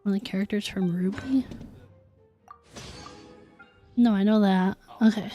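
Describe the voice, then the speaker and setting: A woman's voice: mid-range voice, a little slow, mellow, muffled recording, faint music behind. A woman livestreaming a video game, chatting casually and thinking aloud as she answers viewers. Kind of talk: casual chat while playing a video game.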